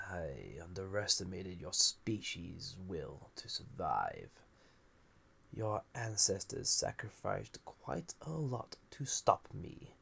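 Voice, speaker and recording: Man acting an ancient gravelly villain, very deep. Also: very animated, drawn-out words, sharp tone, a little hiss or hum, exaggerated voice. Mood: grave, menacing. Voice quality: gravelly, husky. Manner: musing, theatrical, drifting into breathy near-whispers. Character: ancient banished male villain, magical being, wry, flirty, menacing. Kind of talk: scripted audio roleplay, intimate monologue to listener.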